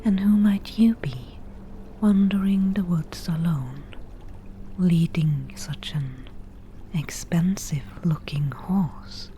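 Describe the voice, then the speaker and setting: A woman playing a wry, teasing forest witch in a softly whispered ASMR roleplay, with dry, unhurried delivery. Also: fairly low pitch, a little hiss or hum. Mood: wary, teasing.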